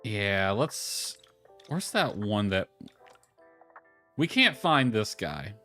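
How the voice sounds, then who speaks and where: A man's voice: lowish voice, big pitch swings, very slow, bright, forceful tone. A man giving casual live gameplay commentary, rambling and thinking aloud as he goes. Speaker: game streamer. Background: background music.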